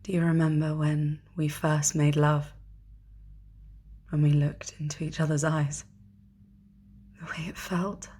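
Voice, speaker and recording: Woman playing wistful sad lover, very low breathy voice, slow hushed monologue. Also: little pitch movement. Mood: wistful sadness. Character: wistful, sad woman remembering intimate love. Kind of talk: hushed dramatic monologue acting.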